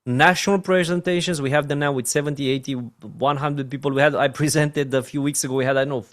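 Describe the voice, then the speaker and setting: A man's voice: on the high side, fairly even intonation, fast talker. A man with a Romanian accent, a network marketing trainer giving a training talk in an emphatic way, often checking in with his listeners. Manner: emphatic, often asks "right?" and "my friend".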